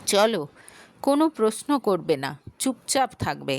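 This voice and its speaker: A woman's voice: mid-range voice, big pitch swings, measured pace. A woman voicing a caring adult woman doctor who speaks in a firm, reassuring way.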